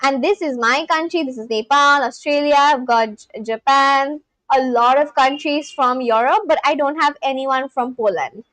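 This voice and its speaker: Indian woman streaming live, pretty high voice, super chatty, laughs a lot, dry close sound. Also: normal speed, few pauses, a bit bright, dry recording, low-quality recording. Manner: chatty, laughs often, talks straight to chat. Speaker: livestream host. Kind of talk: casual live-stream chat with viewers.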